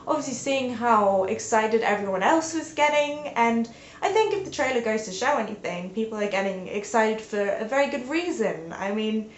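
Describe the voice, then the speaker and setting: A woman's voice: on the high side, animated, moderate pace. A young woman vlogging from home, chatting casually with lots of "like"s and playful outbursts, sounding stuffed up from a sinus infection. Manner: rambling, peppered with "like", playful exclamations. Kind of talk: casual chatty video talk. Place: indoors at home. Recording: roomy, echoey home recording.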